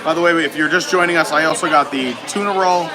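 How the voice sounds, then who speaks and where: A man's voice: a little high, fairly even intonation, fast talker, poor audio. An American man from Long Island chatting casually as he hosts a live vlog stream. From Long Island, New York, USA. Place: busy public indoor resort space. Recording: loud crowd in large indoor space. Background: loud crowd chatter.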